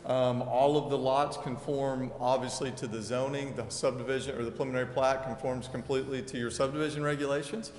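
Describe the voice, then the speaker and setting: A man gives an informal, explanatory presentation with frequent hesitations. His mid-pitched voice rings around an echoey chamber. There is faint noise behind him. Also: steady tone, fairly quick, few pauses, darkish tone. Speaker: applicant's representative presenting. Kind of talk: public hearing presentation.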